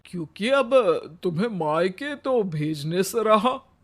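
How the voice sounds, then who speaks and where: A man acts out an old henpecked husband in a comic cartoon tale. His voice is very high for a man, and he talks slowly. He is wry and sarcastic, forever needling with mock pleading. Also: animated. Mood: teasing. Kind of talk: comic animated moral story acting.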